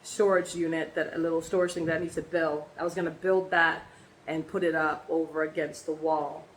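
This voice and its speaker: A woman with a hoarse voice from laryngitis, chatting casually in a personal vlog and rambling with many ums and restarts. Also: deep voice, little pitch movement, fairly quick, a little hiss or hum, rough recording. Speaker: vlogger.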